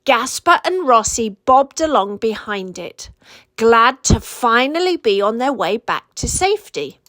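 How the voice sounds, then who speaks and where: A woman reading a children's storybook aloud as an expressive narrator. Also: fairly high pitch, unhurried, no echo.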